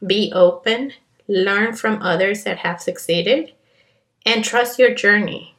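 A woman's voice: medium pitch, takes it slowly, a bit bright, a little hiss or hum. A woman in her thirties from Colombia with a Colombian accent, hosting a podcast and telling her own story in an earnest, confiding way. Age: 30s. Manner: earnest, confiding, with fillers and restarts. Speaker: podcast host. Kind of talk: personal monologue. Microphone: podcast microphone.

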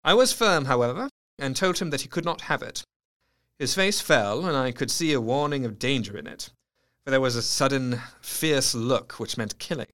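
A man's voice: mid-range voice, lively intonation, normal speed, a bit bright, lightly acted. A man acting as an intense, brooding Victorian doctor. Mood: grave, watchful. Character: earnest, lovesick Victorian asylum doctor recording his diary. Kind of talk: dramatic first-person diary narration.

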